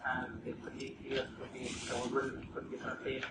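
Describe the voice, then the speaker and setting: A man's voice: mid-range voice, monotone, moderate pace. A man from the Palestinian territories with an Arabic accent, giving a formal witness statement to a tribunal. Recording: echoey courtroom, from a distance, with background hum.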